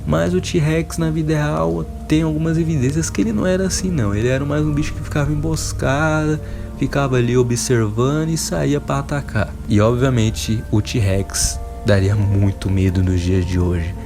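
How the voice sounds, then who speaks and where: A man's voice: mid-range voice, steady tone, normal speed, gravelly, music in the background. A Brazilian man hosting a casual enthusiast video, talking in an emphatic, informal, wonderstruck way. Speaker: video host.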